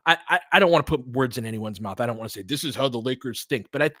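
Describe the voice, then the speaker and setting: A man in his forties doing casual play-by-play of a basketball game, chatty and excitable, thinking aloud between plays. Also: medium pitch, racing, no echo, studio quality. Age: 40s. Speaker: play-by-play host. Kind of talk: casual fan play-by-play commentary.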